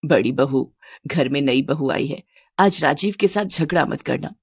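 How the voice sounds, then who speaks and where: A woman's voice: deep voice, a little slow, creaky, breathy edge, no room sound, clean studio sound, voice pushed down, full character voice. A woman voicing a stern, domineering older mother-in-law with a commanding manner. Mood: admonishing.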